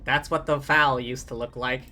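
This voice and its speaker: Low-voiced woman streaming a game. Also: steady tone, moderate pace. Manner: informal, slangy. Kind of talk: casual live gameplay commentary. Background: loud game music.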